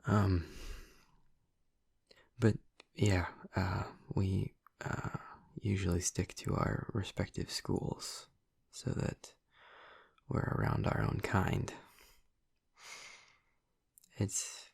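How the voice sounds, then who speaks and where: Hushed, gravelly young male vampire played by a man. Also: low voice, level tone, extremely slow, pauses often, dry recording, heavily acted. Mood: relaxed, rambling. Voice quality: breathy, husky, gravelly murmur. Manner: hesitant, rambling, casual. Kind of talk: intimate audio roleplay addressed to the listener.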